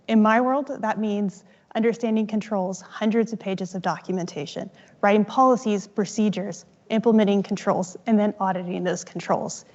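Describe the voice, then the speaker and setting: A woman co-founder giving an upbeat, emphatic startup pitch on stage. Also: a little mellow, highs cut off.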